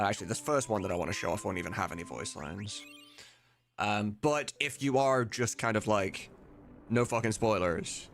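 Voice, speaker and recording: Low-voiced, animated male streamer. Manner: informal, sweary, rambling. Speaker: live streamer and game modder. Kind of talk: casual live stream chat.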